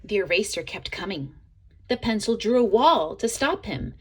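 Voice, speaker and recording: A woman reading a children's picture book aloud in an expressive way, as a teacher would to young listeners. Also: medium pitch, background noise. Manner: expressive, pauses to ask listeners questions. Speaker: storybook reader, teacher.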